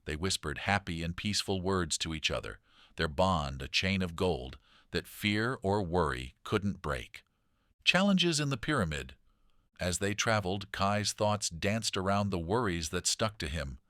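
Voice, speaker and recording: Male children's narrator, very lively intonation, dramatic, dry close sound. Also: low-pitched, a little slow, no room sound. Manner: dramatic, animated delivery. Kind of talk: expressive scripted read-aloud storytelling.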